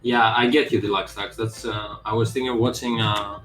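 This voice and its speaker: A man with a fairly low voice chats loosely on a live game dev stream. He rambles, fills gaps with "like" and swears in passing. The room sounds echoey, with faint music underneath. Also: little pitch movement, fairly quick, a bit bright. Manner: rambling, heavy on "like" fillers, casual swearing. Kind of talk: casual live-stream chat.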